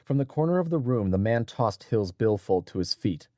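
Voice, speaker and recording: A man reading scripted adventure fiction as an audiobook narrator, in a dramatic, measured storytelling manner. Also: fairly low pitch, animated, warm tone, no room sound, studio quality.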